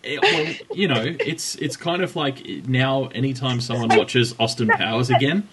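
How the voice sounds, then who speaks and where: A man's voice: mid-range voice, normal speed, noisy background. A man co-hosting a podcast, chatting casually and teasing his co-host with dry humour. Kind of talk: casual chat about women's cycling.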